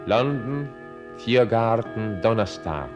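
A dry, ironic narrator for a radio drama. His voice is fairly low with lively pitch movement, reporting like a documentary over faint music. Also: takes it slowly. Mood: dry, reportorial. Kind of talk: scripted radio play narration.

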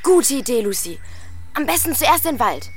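A woman playing a gentle, eager schoolgirl, an older sister, in a children's audio drama. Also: sits high, very animated, normal speed, slight background noise.

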